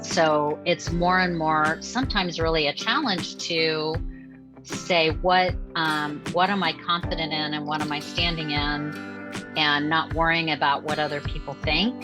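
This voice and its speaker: A woman's voice: low voice, fairly even intonation, unhurried, over music. A woman speaking as an interview guest in a reflective, hesitant, personal way. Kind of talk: casual personal chat.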